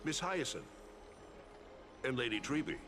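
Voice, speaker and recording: An actor playing a courteous, grave lord in a video game, speaking formally and seriously. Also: male voice, medium pitch, big pitch swings, unhurried, noisy background. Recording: loud music under the voice.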